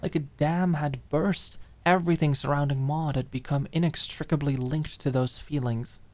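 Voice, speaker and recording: A woman's voice: low-pitched, steady tone, normal speed, darkish tone. A woman reading a dramatic fiction audiobook expressively, lingering on key words. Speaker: audiobook narrator. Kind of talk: dramatic scripted fiction narration.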